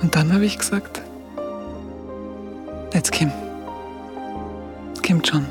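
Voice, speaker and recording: Tender lovestruck woman, soft breathy confiding, radio play, quiet music under. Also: deep voice, slow pace. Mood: tender, wistful. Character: tender, smitten woman fondly recalling her soldier lover. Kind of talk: intimate radio play monologue.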